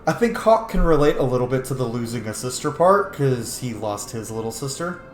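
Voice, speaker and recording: A man's voice: medium pitch, big pitch swings, brisk. A man giving casual, offhand gameplay commentary and joking to himself as he plays. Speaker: gaming video host. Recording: roomy echo, faint game music behind. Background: faint game music.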